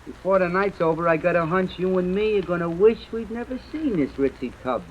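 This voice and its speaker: A man's voice: high voice, fairly quick, lo-fi sound, close to an everyday voice. An actor playing a nervous, slangy small-time gunman in an old-time radio drama. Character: jittery small-time gangster gunman, eager to placate.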